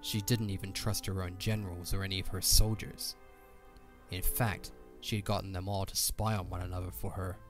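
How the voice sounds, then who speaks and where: A man narrates a fantasy audio drama in a very low voice. His delivery is scripted and dramatic, like an audiobook, with music playing softly underneath. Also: flat delivery, moderate pace.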